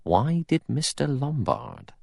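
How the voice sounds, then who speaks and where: A man's voice: medium pitch, wildly swooping pitch, slow pace, gravelly, a little mellow, no room sound, studio quality, voice pushed up, exaggerated voice. A man performs a former police inspector in an audiobook. He is blunt and grudging, full of suspicion, with a doubtful grunt to his way of talking. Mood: suspicious.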